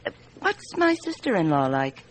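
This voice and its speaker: A woman's voice: on the low side, huge pitch swings, moderate pace, a little hiss or hum, poor audio. An actress playing a candid, amused Frenchwoman in her thirties in a radio drama, chatty and hesitant. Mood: wry, candid. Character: candid, amused 34-year-old Frenchwoman, plump bar hostess.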